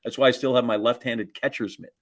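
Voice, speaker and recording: A man's voice: medium pitch, steady tone, fast talker. A man, chatting casually and telling rambling, folksy anecdotes as a podcast co-host. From Wisconsin, United States.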